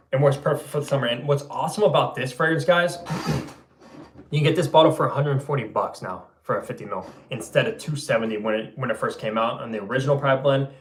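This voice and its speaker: A young man hosting a fragrance livestream and reading out viewer comments in an easygoing way, his voice going a little hoarse. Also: mid-range voice, roomy sound, a little hiss or hum. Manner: laid-back, loose, says bro and man a lot. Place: room at home.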